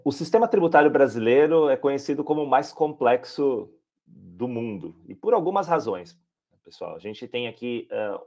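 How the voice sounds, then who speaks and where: A man's voice: mid-range voice, darkish tone. A man with a Brazilian Portuguese accent, a tax expert explaining reform in a webinar, peppering his points with "tá?" and "né". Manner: explanatory, many filler tags like "tá?" and "né". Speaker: regulatory director, tax expert.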